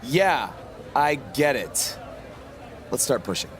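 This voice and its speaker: An actor playing a young, good-natured underdog pro wrestler in a video game story, with a casual, sarcastic delivery. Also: male voice, medium pitch, sing-song, takes it slowly, heavy background noise. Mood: stubborn, weary.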